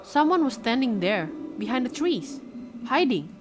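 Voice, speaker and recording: A woman's voice: high-pitched, a little slow, noisy background. A young woman streamer whose first language is Indonesian, reading a visual novel aloud and breaking off to react and greet her chat. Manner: reads the story dramatically, breaks off for excited reactions and greetings to chat. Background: game music.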